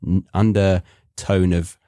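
A man's voice: sits low, little pitch movement, slow, mellow, dry recording. A British man hosting a podcast, chatting in a laid-back way with dry wit. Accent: British English. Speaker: podcast host. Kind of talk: casual chat.

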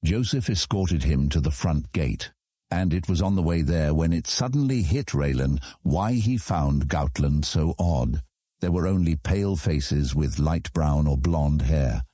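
A man narrating a fantasy audiobook in a measured, dramatic storytelling manner. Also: very deep voice, warm tone, breathy edge, no echo, studio quality.

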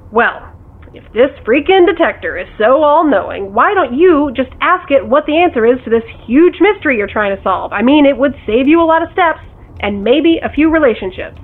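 An actress playing a blunt, exasperated but caring woman scolding her partner over a phone in an audio drama. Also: high voice. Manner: blunt, reproachful. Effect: phone call filter. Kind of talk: naturalistic audio drama dialogue. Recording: thin, band-limited phone line.

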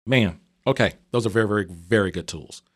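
A man with a low voice hosts a casual interview. The sound is close and dry, and he chats through questions and reactions in an informal way. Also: a little fast, slightly rough, dry recording. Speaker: interviewer, host.

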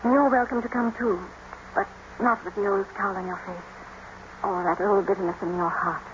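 A woman's voice: medium pitch, fairly even intonation, normal speed, natural voice. Actress as an intense young lady, old radio. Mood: firm. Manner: theatrical, intense. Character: young lady of a manor, earnest, haunted, impassioned. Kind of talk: 1940s-50s radio drama acting. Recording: noisy, dull old radio broadcast.

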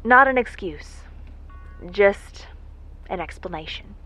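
A woman playing an earnest, vulnerable worker recording a heartfelt message in an audio drama, speaking in a folksy, halting, reflective way. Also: mid-range voice, animated, bright, forceful tone, full character voice. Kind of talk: intimate monologue in an audio drama. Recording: muffled lo-fi audio with music behind. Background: music.

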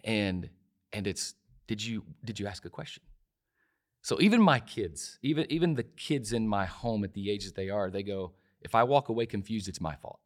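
A man's voice: lowish voice, moderate pace. A man of forty in a casual interview, talking emphatically and repeating phrases, with frequent restarts. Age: 40s. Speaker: podcast guest.

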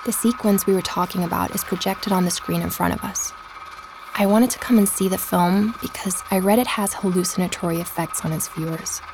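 An actress playing a podcast host, narrating in a calm, reflective way for an audio drama. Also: female voice, on the low side, fairly quick, noisy background, natural voice. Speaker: podcast narrator and investigator Emma.

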